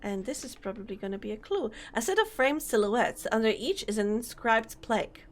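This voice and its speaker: A young woman streaming a game, chatting casually, reading on-screen text aloud and laughing often, her voice slightly hoarse. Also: on the high side, expressive, brisk. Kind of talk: casual let's-play commentary.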